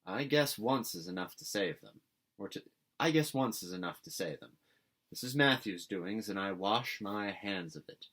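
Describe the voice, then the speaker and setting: A man voicing a stern older woman guardian in a storybook, brisk, tart and scolding. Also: lowish voice, expressive, fairly bright tone. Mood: tart, resigned.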